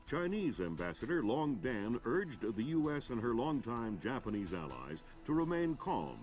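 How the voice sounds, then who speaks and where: An actor playing a television news anchor who reads world news in a crisp, neutral style in a game cutscene. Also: male voice, medium pitch, a little mellow. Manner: crisp, neutral newsreader delivery. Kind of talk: broadcast news reading.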